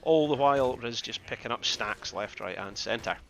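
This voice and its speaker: Man, Scottish accent, mid-pitched bright voice, live game casting, casual asides, repeated phrases, slight background noise. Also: normal speed, creaky. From Scottish Highlands, northeast Scotland. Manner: conversational, rambling, repeats phrases for emphasis. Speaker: esports caster and streamer.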